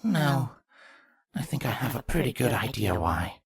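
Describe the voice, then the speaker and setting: An actor playing a regal changeling queen in an audio fan fiction drama, husky and slow. She speaks with grave deliberation, a grieving mother with vengeance in her. The sound is close and dry. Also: mid-range voice, sing-song, no echo, heavily acted. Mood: subdued, formal.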